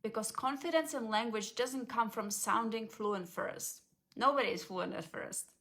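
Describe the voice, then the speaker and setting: A woman with a voice a touch higher than most talks in a calm, measured way. She walks through each point like a coach delivering a scripted explainer. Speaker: language confidence coach.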